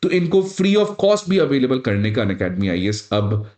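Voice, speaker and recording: A man's voice: mid-range voice, very animated, moderate pace, a little mellow. A man with an Indian accent explains current affairs to students in a didactic way, addressing them directly and mixing in English phrases. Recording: roomy, echoing sound.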